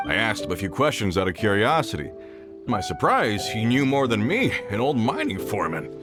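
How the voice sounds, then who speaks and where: A man's voice: fairly low pitch, very animated, brisk. An actor playing a boastful older mining foreman in a video game, with a hearty, folksy manner. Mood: explaining. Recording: music behind the voice.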